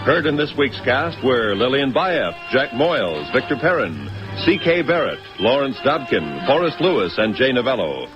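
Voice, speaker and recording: A man's voice: mid-range voice, very animated, moderate pace, few pauses, over music. A man announcing a scripted radio programme in 1950s style. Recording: dull old radio recording.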